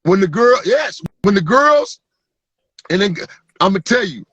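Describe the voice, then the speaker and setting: Husky, high-voiced man hosting a podcast. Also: very animated, a little slow, a bit bright, no echo, muffled recording. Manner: emphatic, street slang, asks "you feel me". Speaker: podcast host. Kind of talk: casual podcast conversation.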